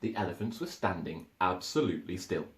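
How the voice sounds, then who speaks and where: A man in his thirties giving an expressive narration of a children's storybook. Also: sits low, a little fast. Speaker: storybook reader. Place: small living room, indoors. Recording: echoey living room.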